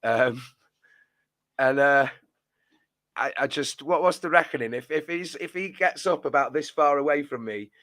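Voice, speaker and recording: Man, fan reaction chat, bright tone, dry close sound, rambling. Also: medium pitch, moderate pace, long pauses, dry recording. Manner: rambling, restarts often, drops to a mutter at phrase ends. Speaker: fan channel co-host. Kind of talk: post-match reaction chat.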